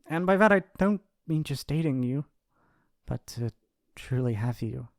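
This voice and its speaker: A man's voice: medium pitch, creaky, a little mellow, no echo, clean studio sound. A man playing a possessive, lovestruck and shy mafia boss's son in an intimate, earnest romantic ASMR roleplay.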